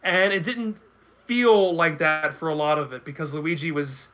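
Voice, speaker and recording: Homem de voz brilhante e um pouco aguda. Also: male voice, fairly high pitch, normal speed, fairly bright tone. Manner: hesitant, repeats words while thinking aloud. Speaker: guest commentator. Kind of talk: casual video podcast chat.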